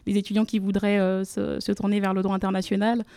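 A woman's voice: mid-range voice, little pitch movement, quick pace, mellow, no room sound. A young woman from the Democratic Republic of the Congo, a law student guest on a radio interview, speaking formally with many hesitations. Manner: hesitant, many fillers, careful formal phrasing. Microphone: studio microphone.

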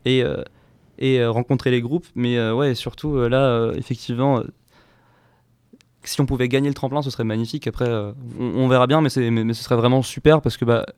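A man's voice: medium pitch, steady tone, brisk, darkish tone, clear, resonant tone, no echo, studio quality. A young man in his twenties from France, a band musician chatting casually and playfully in an interview, rambling at times.

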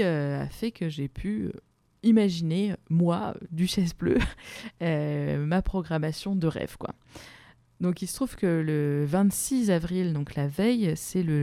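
A woman's voice: deep voice, creaky, warm tone, dry recording. A woman musician and playwright answering interview questions casually, hesitant with many fillers and restarts, laughing often.

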